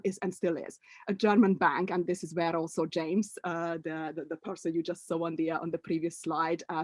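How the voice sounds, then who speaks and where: A woman's voice: on the low side, no echo. A middle-aged woman from Hungary, a native Hungarian speaker, giving an online webinar presentation as a language coach, hesitant, with many fillers and restarts.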